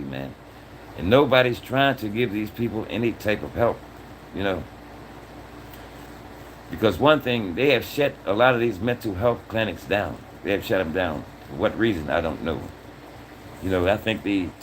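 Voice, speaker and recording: Low-voiced man in his sixties, earnest. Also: slight background noise, low-quality recording. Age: 60s. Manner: earnest, repeats points, ends phrases with "man". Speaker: livestream host. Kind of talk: casual livestream talk to chat.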